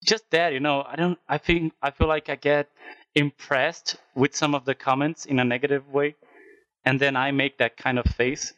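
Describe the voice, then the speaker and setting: A man's voice: medium pitch, level tone, normal speed, a bit bright, no echo, highs cut off. A man from Brazil with a Brazilian accent, a guest in a casual podcast chat, who searches for words and hesitates often. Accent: Brazilian Portuguese.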